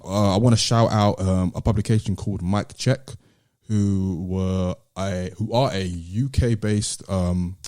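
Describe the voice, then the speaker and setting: A man's voice: sits low, dark tone, no room sound, clean studio sound. A British man hosting a podcast in casual, slangy, laid-back chat. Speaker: podcast host.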